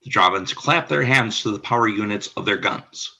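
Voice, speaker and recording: A man's voice: fairly low pitch, moderate pace, vocal fry, sharp tone. A man reading scripted scene narration for a radio play, describing the action in the present tense.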